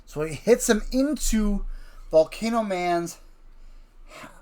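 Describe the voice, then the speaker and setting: A man hosting an improvised story session with a child, wry and gently teasing as he narrates. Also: sits high, lively intonation, slow pace. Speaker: host, parent telling a story with a child.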